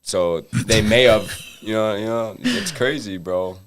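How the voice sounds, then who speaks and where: A man's voice: fairly low pitch, animated, measured pace. A man in his twenties in a casual chat among family, laid-back, emphatic and swearing freely. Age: 20s.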